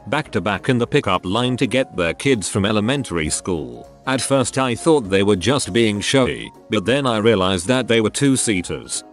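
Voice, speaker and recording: A man narrating a string of short online stories as scripted readings, in a steady, even manner. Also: lowish voice. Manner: steady, even reading of short anecdotes one after another.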